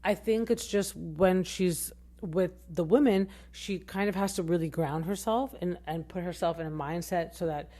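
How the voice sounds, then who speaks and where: A woman in her thirties chats through a podcast recap in a slightly low voice. She is highly expressive, and her pitch swings up and down as she talks. She rambles loosely, with plenty of fillers and restarts. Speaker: podcast host. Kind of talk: casual reality TV recap.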